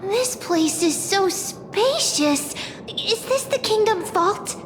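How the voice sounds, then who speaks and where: Tiny, very high-pitched chirpy fairy companion. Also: female voice, lively intonation, slow, long reverb tail, exaggerated voice. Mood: curious, chirpy. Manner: exclamatory, chirpy, inquisitive. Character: small cheerful female fairy-like companion creature, childlike, curious. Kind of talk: video game voice acting. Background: music.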